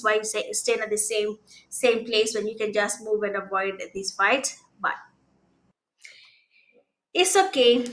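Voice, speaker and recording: A Kenyan woman whose first language is Swahili, giving live esports commentary in a conversational, hesitant way with pauses. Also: fairly high pitch, pushed and bright. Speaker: esports caster. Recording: roomy, echoing sound.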